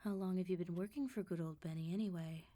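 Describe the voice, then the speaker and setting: A woman voicing a suave, menacing female vampire mob boss in an ASMR roleplay, politely teasing and threatening with unhurried control. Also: on the low side, steady tone, mellow, natural voice. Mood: probing, controlled.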